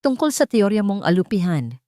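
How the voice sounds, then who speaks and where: A woman's voice: mid-range voice, big pitch swings, a little fast, warm tone, no echo, clean studio sound, voice pushed up, lightly acted. A woman voicing a proud male doctor who is cold and commanding in an audio drama.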